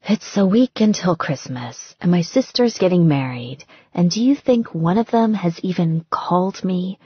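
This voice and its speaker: A slightly muffled audiobook recording presents a young female star in recovery, acted by a woman narrator. She is bitter and self-pitying, with a husky edge to her voice. Her sarcasm spills into exclamations. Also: on the low side, animated, moderate pace, no echo. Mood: bitter, agitated.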